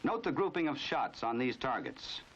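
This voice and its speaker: A man's voice: medium pitch, very animated, normal speed, fairly bright tone, dull audio. An American man narrating a 1950s instructional film in a formal, authoritative, instructive manner on an old film soundtrack.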